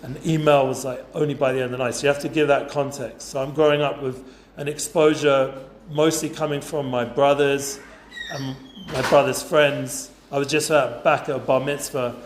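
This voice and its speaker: A man, speaking to a group of students in a loose, chatty way full of asides. His voice sits at a middle pitch. It is recorded in a roomy, echoey space over a faint hiss. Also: little pitch movement, normal speed. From North London, England. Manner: chatty, informal, lots of fillers and asides. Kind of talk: casual talk to a youth group.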